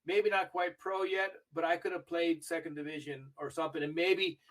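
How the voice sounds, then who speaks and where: An older man with a fairly high voice talks in a chatty, storytelling way as a podcast guest. He grew up in Argentina and perks up when recalling famous matches. He sits a little back from the microphone, so he sounds slightly distant. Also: fairly even intonation, moderate pace, fairly bright tone. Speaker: interview guest, video review manager. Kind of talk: casual podcast interview.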